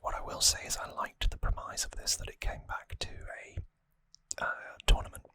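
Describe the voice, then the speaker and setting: An adult ASMR host whispering softly and hesitantly in an unhurried ramble, close to the microphone. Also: no room sound.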